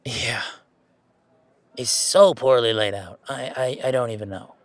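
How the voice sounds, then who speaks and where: A man acts out an anxious, self-deprecating young gamer in an audio drama. He rambles and hesitates in a mid-pitched conversational voice, recorded very dry. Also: a little slow, dry recording, natural voice. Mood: fond, rambling. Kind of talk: conversational audio roleplay acting.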